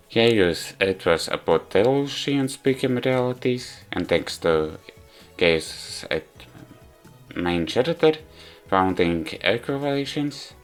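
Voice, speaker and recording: A man giving a rambling, halting spoken review of a visual novel in non-native English. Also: deep voice. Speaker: video game reviewer. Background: background music.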